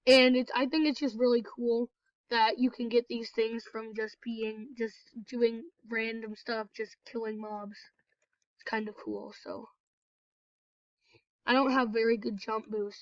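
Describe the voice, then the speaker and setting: Stuffy nasal girl with a cold rambling thru her gameplay, kinda high voice, dead dry muffled mic. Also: no room sound. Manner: chatty, rambling, apologetic. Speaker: gameplay video host. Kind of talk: casual game commentary. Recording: dull, lo-fi, dry recording.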